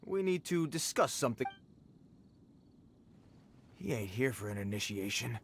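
A man's voice: medium pitch, big pitch swings, a little slow, a little hiss or hum. A man voicing a nervous, deferential bandit leader in a game, speaking hesitantly and earnestly. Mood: wary, puzzled. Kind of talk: animated game character dialogue.

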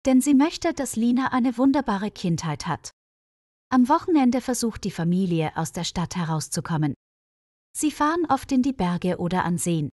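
A woman reading a scripted story for language learners in a careful, clearly phrased way. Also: mid-range voice, very animated, normal speed, mellow, no room sound, clean studio sound. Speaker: narrator of a German listening-practice story.

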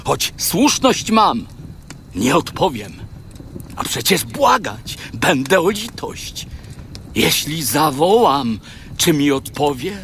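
A humbled, suffering man of faith in an audio Bible drama. He speaks slowly and haltingly, with solemn, dramatic weight, over faint ambient noise. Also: on the high side, very animated, fairly bright tone, full character voice. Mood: anguished, pleading. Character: Job, afflicted righteous man humbled before God's power.

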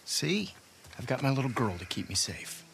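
An actor playing a middle-aged American doctor and devoted father, gentle but resolute, in a naturalistic video game style. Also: medium pitch, very animated, normal speed, creaky, a little hiss or hum. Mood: warm, teasing. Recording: music under the dialogue.

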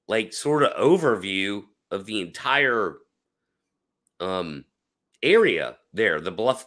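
A man hosting an interview over a video call from home, animated and rambling with many fillers, his voice hoarse and cracking. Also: lowish voice. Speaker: host. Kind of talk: casual interview chat. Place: home room. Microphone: webcam on a video call.

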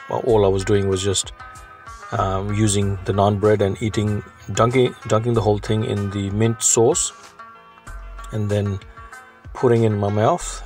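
A man's voice: fairly low pitch, a little mellow. A man in his forties casually reviewing restaurant food, chatty and hesitant with many fillers, recorded on a phone at a table in a small restaurant. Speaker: food vlogger.

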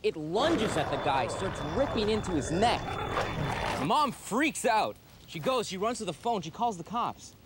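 A man playing a cocky, wisecracking guy who tells friends a crude, slangy tale with dramatic pauses. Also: medium pitch, expressive, heavy background noise, lightly acted. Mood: excited. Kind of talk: casual storytelling in a film-style drama. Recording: music behind the voice.

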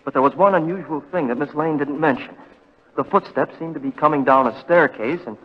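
Man playing an urgent, fast-talking earnest fellow. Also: a little high, close to an everyday voice. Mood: urgent, hushed. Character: urgent, earnest nephew caught in a mystery. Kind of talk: 1940s radio drama acting. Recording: muffled, reverberant 1940 radio recording.

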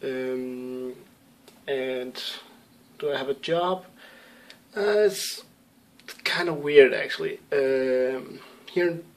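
A man's voice: mid-range voice, extremely slow, long pauses. A young man from Denmark with a Danish accent, English not his first language, answering viewer questions in a casual webcam vlog from a room at home, hesitant with many ums and restarts. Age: young adult. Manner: hesitant, lots of ums and self-corrections. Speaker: YouTube gamer. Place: small room at home, indoors.

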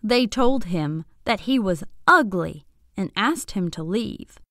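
A woman narrating a scripted bedtime story for young children in an expressive, deliberate, clearly phrased way. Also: mid-range voice, no room sound, clean studio sound.

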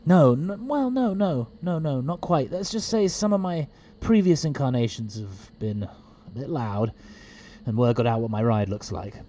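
Casting: male actor for eccentric alien time traveller, audio drama; mellow, swooping pitch, stammering, excitable. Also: unhurried, slight background noise, lightly acted. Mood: flustered, rambling.

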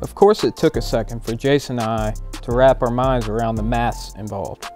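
A man's voice: medium pitch, steady tone, normal speed, a little mellow. A man in his thirties giving casual, wry, laid-back vlog narration about his own work. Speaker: builder and video host. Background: music.

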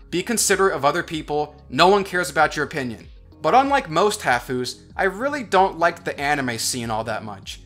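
A young man in college giving sarcastic, deadpan commentary as a voice-over. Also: a little high. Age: young adult. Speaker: commentary vlogger. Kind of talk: sardonic scripted commentary over animation.

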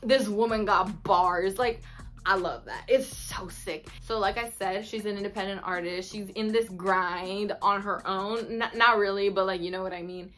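A woman in her twenties, a native Spanish speaker, chatting casually to camera in an expressive, slangy way with Spanish words mixed in. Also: mid-range voice, normal speed. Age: 20s. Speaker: vlogger. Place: small bedroom indoors. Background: instrumental music.

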